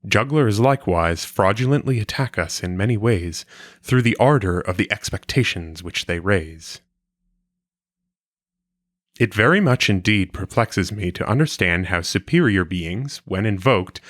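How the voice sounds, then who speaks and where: A man reading an old philosophical text aloud in a measured, formal manner, with clear phrasing, like an audiobook. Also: on the low side, animated, no room sound, studio quality.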